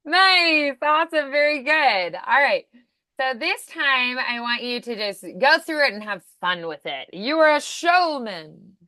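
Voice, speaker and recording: A woman coaches voice acting in a casual, encouraging way. Her voice is bright and a little high. The sound is dry, with little room around it. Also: big pitch swings, a little slow, no echo.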